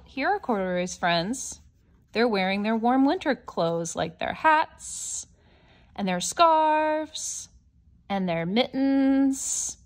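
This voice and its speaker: Slow, high-voiced woman reading to children. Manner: expressive, questioning, with gasps and hushed asides; deliberate, child-directed phrasing. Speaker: storybook reader for young children. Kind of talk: picture-book read-aloud with simple explanations.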